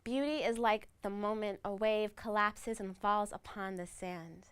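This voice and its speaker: A woman reciting scripted poetic narration with a measured, lyrical delivery. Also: medium pitch, fairly even intonation, fairly bright tone, smooth. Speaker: spoken-word narrator.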